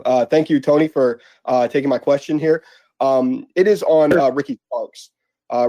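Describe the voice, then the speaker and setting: A man's voice: medium pitch, mellow, dry recording. A man, a reporter on a press call, asking his question politely and a little hesitantly. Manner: polite, slightly hesitant, with filler uhs.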